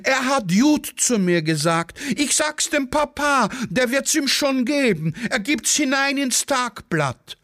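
A man's voice: very high voice, sing-song, slow, hardly any pauses, pushed and bright, dry recording, studio quality, voice pushed up, exaggerated voice. A man voicing whining, tattling Viennese schoolboys in a satirical radio play. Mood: whining complaint.

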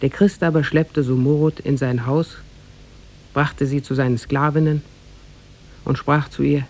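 A man narrating a tale as a formal, measured storyteller in 1950s German radio style. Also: fairly high pitch, flat delivery, darkish tone.

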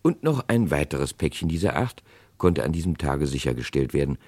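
A man's voice: very deep voice, big pitch swings, moderate pace, darkish tone, breathy edge, dry recording. A man giving dramatic scripted narration for a vintage radio crime play.

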